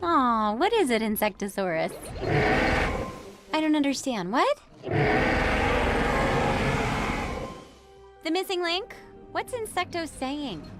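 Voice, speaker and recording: A woman playing a down-to-earth young giant heroine in a video game, conversational and gently sardonic. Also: high-pitched, big pitch swings, unhurried, over loud music. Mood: puzzled, wry. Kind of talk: animated video game voice acting.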